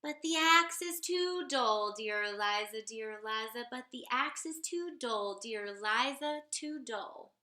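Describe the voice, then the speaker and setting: Female children's storyteller, high and unhurried, expressive sing-song read-aloud, roomy echo. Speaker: storyteller reading a picture book aloud. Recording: roomy, echoey sound.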